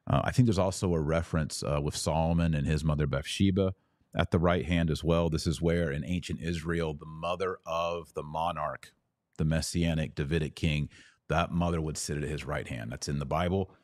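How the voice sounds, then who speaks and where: A man's voice: very deep voice, fairly even intonation, normal speed, darkish tone, no room sound, studio quality. A man speaking as a podcast host, teaching about religion conversationally and answering questions in a didactic, emphatic way.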